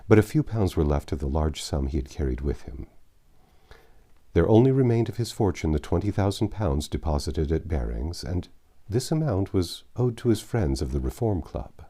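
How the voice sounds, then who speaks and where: A man narrating a classic novel in an expressive, measured style with dramatic pauses. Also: low-pitched. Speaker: audiobook narrator. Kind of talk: expressive literary storybook narration.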